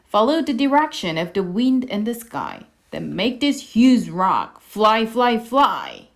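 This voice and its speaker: Storybook grown-up female played by a girl, measured and deliberate, fairly high, lively intonation. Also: creaky, full character voice. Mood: solemn.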